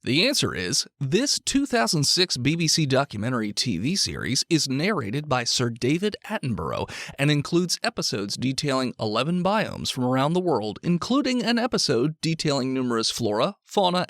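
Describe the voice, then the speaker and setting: A man playing a showy television quiz show host, announcing clues and rulings briskly. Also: a little high, huge pitch swings, a bit bright, dry recording, studio quality, full character voice. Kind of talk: game show hosting parody.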